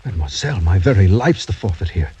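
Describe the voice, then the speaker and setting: Low, dark-voiced doomed French gentleman. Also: sing-song, quick pace, husky, heavily acted. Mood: proud, resigned. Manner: formal, courtly, brooding. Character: proud, doomed young French gentleman, gallant and bitter. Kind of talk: 1940s-50s American radio drama acting. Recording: dull, muffled old radio broadcast recording.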